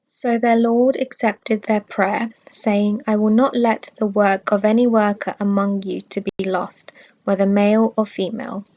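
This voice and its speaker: Measured woman narrator, slightly dull recording. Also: mid-range voice, little pitch movement, darkish tone. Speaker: reader of religious study text. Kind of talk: scripted religious narration.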